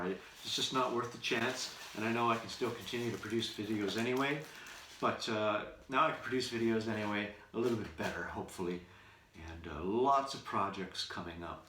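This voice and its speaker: Male vlogger, lowish bright voice, deliberate casual delivery, recorded off-mic in a roomy space. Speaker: vlogger, radio show performer. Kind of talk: casual unboxing commentary to camera. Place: room in his house. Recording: roomy echo, distant mic, faint noise.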